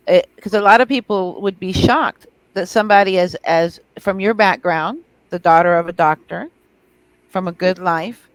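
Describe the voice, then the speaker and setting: A woman podcast host with a lower voice asks her guest a question, repeating words as she gathers her thoughts. The recording is close and dry, with no room sound. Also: no echo, poor audio. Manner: hesitant, repeats words while framing questions. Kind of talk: interview questions.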